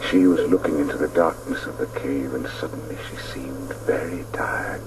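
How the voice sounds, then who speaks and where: A man's voice: extremely low pitch, steady tone, normal speed, music in the background. An actor playing a reflective man who recalls his childhood, narrating solemnly and hesitantly in a radio drama. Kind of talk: dramatic first-person narration. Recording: distant, faintly noisy radio recording.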